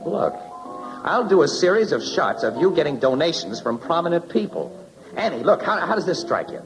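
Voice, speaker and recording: A brash newspaper photographer from a 1940s radio drama, wisecracking yet soft-hearted. His speech is casual city talk with very animated pitch, on an old recording with music behind. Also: moderate pace, low-quality recording. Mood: brisk, defensive.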